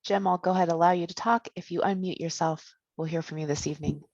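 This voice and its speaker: A woman facilitator, brisk and procedural, running a public comment queue over a video call. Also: fairly low pitch, steady tone, a little mellow, a little hiss or hum. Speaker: forum facilitator. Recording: dry dull lo-fi call audio.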